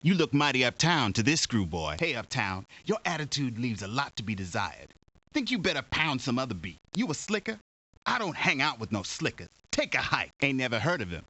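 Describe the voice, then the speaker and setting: Forceful, wildly animated street informant. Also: male voice, mid-range voice, brisk, heavily acted. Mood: cagey, hostile. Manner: brash, sneering street slang. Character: cagey, cocky male street informant, hostile and slangy. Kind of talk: video game character acting.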